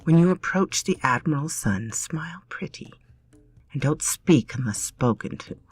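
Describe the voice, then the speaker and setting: A woman's voice: low-pitched, expressive, unhurried, breathy edge, dry recording, faint music behind, heavily acted. Woman doing a haughty scolding sister-in-law. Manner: hissing, clipped scolding.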